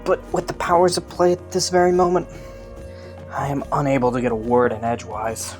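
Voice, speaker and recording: A woman voicing a kind, playful young prince in an intimate roleplay drama, speaking politely with hesitant rambles and gentle teasing. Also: rumbling low, lively intonation, brisk. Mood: curious, earnest. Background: faint music.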